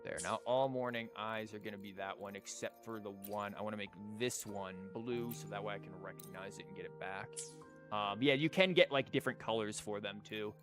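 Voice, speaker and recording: A man's voice: on the low side, brisk. A man streaming a game, thinking aloud and rambling as he explains things in casual live commentary, with game music behind him. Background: video game music and character lines.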